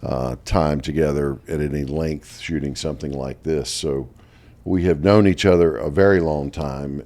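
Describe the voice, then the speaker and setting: A man in his fifties, a longtime play-by-play announcer, chatting in an interview and telling stories with frequent laughs. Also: extremely low pitch. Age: 50s. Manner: animated storyteller who laughs often. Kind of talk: casual interview chat.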